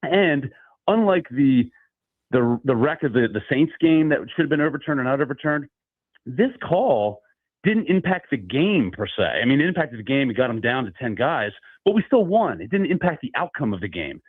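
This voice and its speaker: A man's voice: mid-range voice, moderate pace, breathy edge, no echo. Male caller on a tinny phone line. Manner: conversational, many fillers, steady argument.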